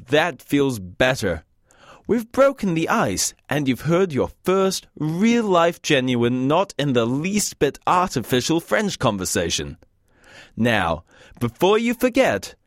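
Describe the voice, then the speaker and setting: A man's voice: mid-range voice, dry recording, clean studio sound. A man from the UK hosting a scripted language course, with a wry, joking teacher's manner. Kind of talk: scripted lesson narration.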